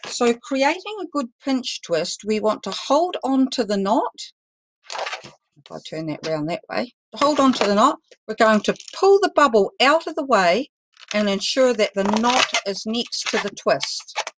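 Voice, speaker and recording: Loud chatty New woman teaching. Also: on the high side, noisy background. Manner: loud, chatty, self-deprecating. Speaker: balloon artist teaching a workshop. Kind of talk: live online demonstration lesson.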